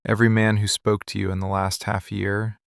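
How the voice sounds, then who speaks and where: A man playing a possessive, obsessive mafia boss in an intimate boyfriend ASMR roleplay, speaking slowly, deliberately and with commanding, menacing intensity. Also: on the low side, level tone, vocal fry, clean tone, no echo, clean studio sound. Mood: obsessive confession. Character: possessive, obsessive male mafia boss confronting his love. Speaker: ASMR voice actor.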